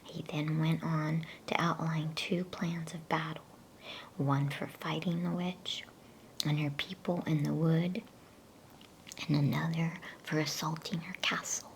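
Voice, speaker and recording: A woman speaking in a breathy, soothing, unhurried whisper, as in an ASMR bedtime reading. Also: low-pitched, little pitch movement, slight background noise. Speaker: ASMR bedtime story reader. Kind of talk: whispered ASMR reading aloud of a children's novel.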